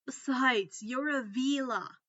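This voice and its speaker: A woman's voice: sits high, slow, sharp tone, dry recording, muffled recording, full character voice. A woman voicing a frank, assured teenage schoolgirl with an emphatic, reassuring manner in a story reading. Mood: exasperated praise.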